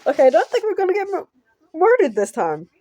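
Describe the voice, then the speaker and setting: A giggly woman reading a visual novel aloud with casual commentary, halting and stumbling over words. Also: very high voice, wildly swooping pitch, dark tone, dry recording. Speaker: gaming channel host.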